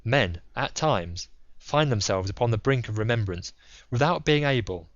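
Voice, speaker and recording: A man's voice: medium pitch, expressive, normal speed, no room sound, highs cut off. A man reading first-person literary narration as an audiobook narrator.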